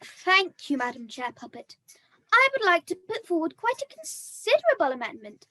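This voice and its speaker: A woman's voice: very high-pitched, very animated, normal speed, bright, forceful tone, full character voice, low-quality recording. A woman playing a haughty, bossy princess puppet in a scripted comedy, speaking in a pompous, formal way.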